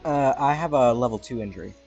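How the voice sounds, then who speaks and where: Mid-pitched man over music. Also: fast, darkish tone, highs cut off. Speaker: player in a tabletop game. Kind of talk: casual game talk.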